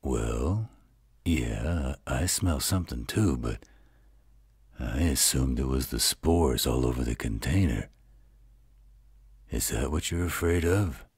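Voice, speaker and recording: A gruff demon dad in a fantasy audio drama. The voice is extremely low and very husky, rolling out slowly. He sounds protective and reassuring. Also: expressive, darkish tone, dry recording, clean studio sound, heavily acted. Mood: concerned, questioning. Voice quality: very deep, gravelly husky voice. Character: gruff, protective male demon father in a fantasy werewolf tale. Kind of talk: fantasy audio roleplay acting.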